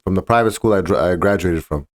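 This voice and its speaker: Male boxer guest, low voice, casual, halting restarts. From Czech Republic. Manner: fast, restarting, stumbling over words. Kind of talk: casual interview talk.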